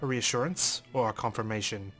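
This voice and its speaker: A man's voice: on the low side, a little fast, a bit bright, soft background music. A man hosting a let's play, reading a visual novel aloud dramatically and commenting on it.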